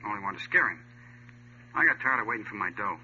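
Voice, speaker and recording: An actor playing a cornered, defiant gangster suspect with street grammar and clipped talk, in a 1950s radio crime drama. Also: male voice, lowish voice, expressive, quick pace, pushed and bright. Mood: agitated confessing. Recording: dull old radio recording, faint hum and noise.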